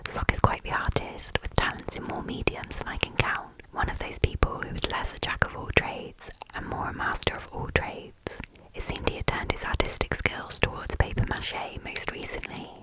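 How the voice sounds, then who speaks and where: A woman's voice: moderate pace, poor audio. Woman doing asmr story reading, all whispered, super close mic. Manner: soft unvoiced whisper throughout.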